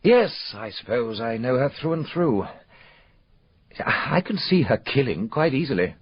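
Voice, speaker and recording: An actor playing a troubled, well-mannered gentleman in a radio drama, speaking in a polite, confiding, clipped way. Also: mid-range voice, sing-song, measured pace, breathy edge. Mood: gossipy, intense. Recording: dull, roomless lo-fi radio recording.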